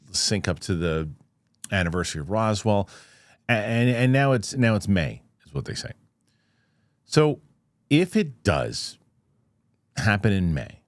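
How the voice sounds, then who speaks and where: A man with a fairly low voice, recorded dry with little room sound. He gives casual, unscripted news commentary as a show host. His delivery is halting and skeptical, as he reasons out loud and puts questions to the viewer. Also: creaky, dry recording. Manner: halting, thinking aloud, rhetorical questions.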